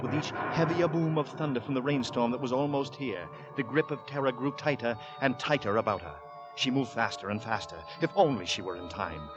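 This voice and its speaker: Actor as ominous male storyteller, mid-pitched, dull vintage radio sound with prominent music behind. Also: close to an everyday voice. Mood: mounting dread. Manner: dramatic, ominous. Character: grave, suspenseful male storyteller of a horror tale. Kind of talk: scripted narration, 1940s radio acting. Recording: dull 1940s radio recording. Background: loud dramatic music.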